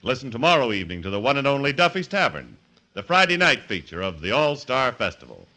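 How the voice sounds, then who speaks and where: A man's voice: medium pitch, big pitch swings, quick pace, pushed and bright. A man announcing in formal, polished 1940s radio style, reading from a script. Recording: vintage radio broadcast with music behind.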